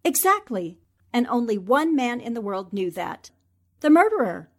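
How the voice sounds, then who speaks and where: An actress playing a sharp, confident newspaperwoman sleuth in a 1940s-style radio drama, explaining in a deliberate, declamatory way. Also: on the high side, very animated. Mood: intent, explaining.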